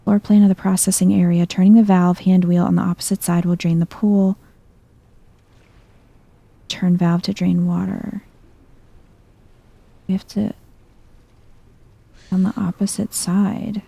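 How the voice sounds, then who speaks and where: Very soft, dark-voiced woman, husky and creaky. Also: level tone, unhurried, pauses often. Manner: chill, low-key, thinking aloud. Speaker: video game streamer. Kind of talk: soft-spoken ASMR gameplay commentary.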